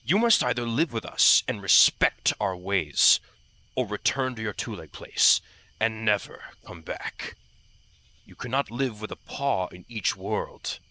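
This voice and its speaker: Man playing a forceful, grave warrior cat. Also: on the low side, animated, heavily acted. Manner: formal, deliberate, cautioning. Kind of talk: audiobook fandub acting.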